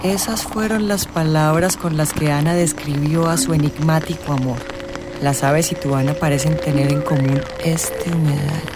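A woman's voice: deep voice, steady tone, normal speed, noisy background, natural voice. An actress playing a wry, teasing female fortune-teller companion in a radio drama, with a knowing manner.

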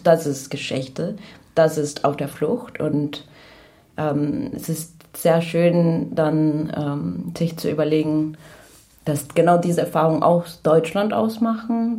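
A woman reflecting in a discussion, halting and thoughtful as she searches for words. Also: low-pitched, mellow. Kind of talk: reflective discussion about images. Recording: faint music behind the voice. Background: faint music.

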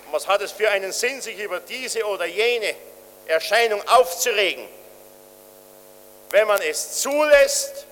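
A man's voice: extremely high pitch, sharp tone, big-room sound, rough recording. A man giving a formal, emphatic and polemical political speech. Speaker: political speaker.

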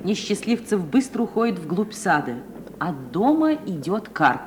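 A woman's voice: mid-range voice, sing-song, measured pace. A woman giving scripted narration of stage directions as narrator of an old radio play. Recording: noisy old radio recording.

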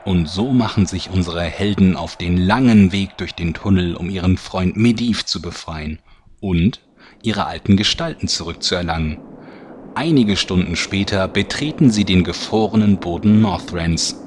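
Guy as deep narrator for a mock-epic fantasy audio play, scripted storytelling, noisy ambience. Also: normal speed, close to an everyday voice. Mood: measured, mock-epic.

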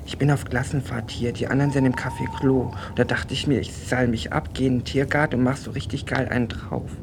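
A man's voice: steady tone, a little fast, lightly acted. Man playing a naive, rambling teenage boy, noisy recording. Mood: earnest, naive. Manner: rambling, apologetic, earnest. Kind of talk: German radio play dialogue. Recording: noisy, rumbling radio recording.

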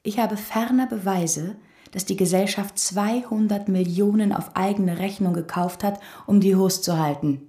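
A woman's voice: medium pitch, moderate pace, mellow, natural voice. A woman in a radio drama playing a scheming baroness who speaks in a pointed, persuasive manner. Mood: insistent, scheming. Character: scheming baroness bargaining with secrets for advice. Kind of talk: German radio drama acting.

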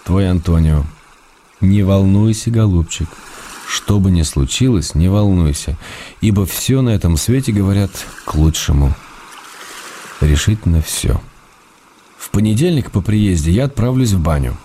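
An actor playing a tender, wry husband reading his love letters aloud in a soft, unhurried radio drama manner. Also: low voice, lively intonation, slight background noise. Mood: tender, reassuring. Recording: music behind the voice.

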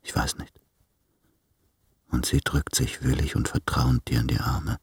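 An actor playing an anguished, self-doubting man in his late thirties, speaking in measured, introspective literary monologue in a radio drama. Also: extremely low pitch, level tone, whispered. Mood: hushed, tender.

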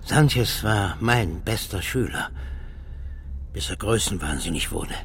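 A man's voice: on the low side, expressive, unhurried, fairly bright tone, slight background noise. An older man acting in a radio crime drama, playing a bitter, obsessed professor who lectures with theatrical contempt. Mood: bitter, brooding. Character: old imperious professor, bitter, scornful and obsessed. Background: music.

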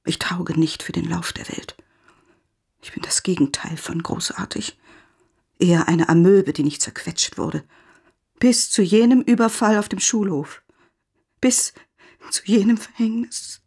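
A lonely, hurt schoolteacher with a breathy, husky voice. She speaks deliberately and formally, full of self-pity, in a radio drama monologue. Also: lowish voice, darkish tone, exaggerated voice. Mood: bitter, sorrowful. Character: lonely, wounded woman teacher composing her farewell letter. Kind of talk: German radio play monologue.

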